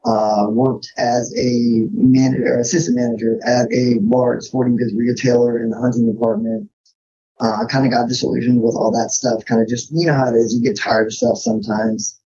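A man gives a casual, self-deprecating interview in a relaxed way. His voice is mellow and husky with plenty of fry. The recording is a little dull and lo-fi. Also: medium pitch. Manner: laid-back, self-deprecating. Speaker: podcast guest, firearms author.

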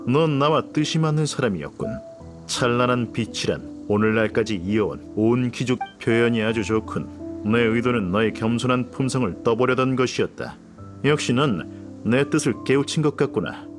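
An actor playing a condescending older aristocrat in a video game, with formal, lordly phrasing and a pompous manner. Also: male voice, medium pitch, normal speed, music in the background, close to an everyday voice. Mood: smug, approving. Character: haughty, pompous older aristocratic man, condescending yet easily flattered.

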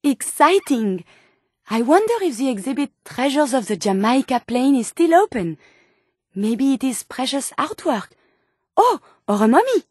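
A high, closely recorded female voice with a French accent, an earnest video game medical robot who is learning to be human. She speaks in formal, carefully chosen words, with open curiosity and tender feeling. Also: measured pace, slightly rough, no echo, studio quality, full character voice. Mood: curious, delighted. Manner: precise, formal, expressive diction. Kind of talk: video game character dialogue.

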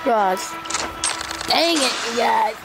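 A stuffed-up woman talks through a hunting video game at an unhurried, drawn-out pace, full of ums and sheepish little groans at her own misses. Loud game music plays under her voice the whole time. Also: fairly high pitch, animated, creaky. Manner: hesitant, chatty, frequent ums and self-deprecating groans. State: stuffed-up nose. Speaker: gaming channel host. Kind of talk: casual let's play commentary.

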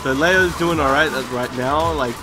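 A man's voice: on the high side, normal speed. An Australian man doing laid-back, joking color commentary at a fighting game tournament. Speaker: esports co-commentator. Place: tournament venue. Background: loud crowd and game music.

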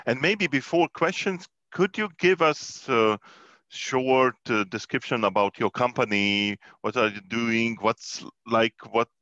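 A man hosting the questions at an online meetup in an informal, halting way. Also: mid-range voice, bright, forceful tone, dry recording. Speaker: meetup host. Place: indoors on a video call. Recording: dull lo-fi online call audio.

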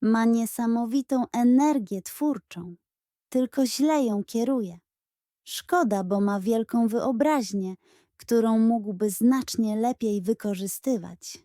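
A woman's voice: fairly high pitch, slow pace, no echo, clean studio sound. A woman voicing a dignified, demanding but composed female schoolteacher in a children's audio storybook. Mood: amused approval. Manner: calm, stately, gently reproving.

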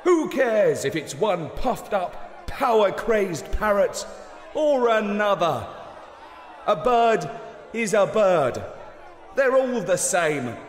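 A man voicing an indignant protest speaker shouting declamatory lines at a rally in a children's story. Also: sits high, sing-song, slow, pitched above the performer's own voice, heavily acted. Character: rabble-rousing male protest speaker at a rally. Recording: echoey public-address hall sound, crowd noise.

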